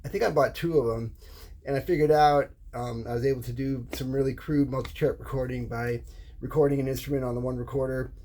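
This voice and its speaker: Mellow middle-aged American man, halting explainer. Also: medium pitch, steady tone, slight background noise. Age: middle-aged or older. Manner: halting, with repeated words and false starts. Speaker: hobbyist presenter. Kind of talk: informal unscripted explanation to camera.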